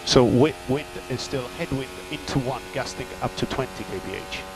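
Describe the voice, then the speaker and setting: Race engineer guy on team radio, short matter-of-fact tech updates, hum and noise behind him, medium pitch. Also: normal speed. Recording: noisy radio link with mechanical hum.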